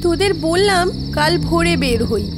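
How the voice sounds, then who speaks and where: Woman acting a very high-pitched timid student girl. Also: lively intonation, normal speed, over music. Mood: fearful, pleading. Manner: anxious, complaining. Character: timid young female botany student, nervous and nagging. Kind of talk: animated horror story dialogue.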